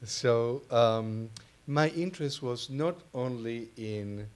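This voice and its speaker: A man's voice: mid-range voice, slow, clean tone. A man with an Argentine accent, a native Spanish speaker, speaking hesitantly and informally as a panelist. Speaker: panelist, art advisor. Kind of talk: informal panel talk, personal introduction.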